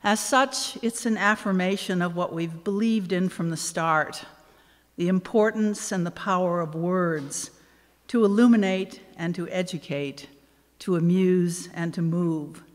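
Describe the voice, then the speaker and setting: Older lady around 70 doing a prepared welcome speech, kinda low voice, dry humor, long hall reverb. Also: measured pace. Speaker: event host, anniversary committee co-chair. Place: on stage in a large hall. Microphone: podium microphone.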